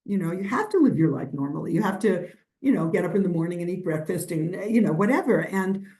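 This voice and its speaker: A woman's voice: on the low side, animated, fast, mellow. An older woman from New York, a historian answering questions at a lecture, talking in a rambling way with many restarts and fillers. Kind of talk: conversational lecture Q&A.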